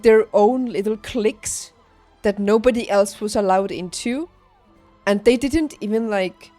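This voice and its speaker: A young adult woman chatting casually on a livestream, telling personal stories in an emphatic, animated and informal way. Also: on the high side, dry recording. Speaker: livestreamer, former nurse. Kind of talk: casual stream chat, personal storytelling. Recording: background music behind close dry voice. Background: music.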